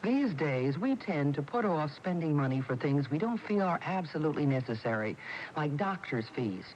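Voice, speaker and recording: A man's voice: on the high side, very animated, normal speed, darkish tone. A man with an American accent reading a scripted public service announcement on the radio. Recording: muffled AM radio with faint noise.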